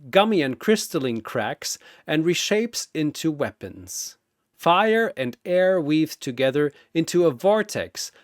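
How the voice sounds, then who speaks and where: A man's voice: on the high side, no echo, clean studio sound. A man telling a scripted battle story in a theatrical, intense and emphatic narrator's style. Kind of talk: dramatic scripted fight narration.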